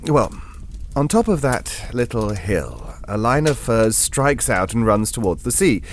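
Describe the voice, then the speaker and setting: A man's voice: medium pitch, big pitch swings, measured pace, slight background noise. An actor playing a genteel older Englishman, telling a ghost story in an audio drama in a hesitant, digressive, politely formal and wistful way. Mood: fond, nostalgic.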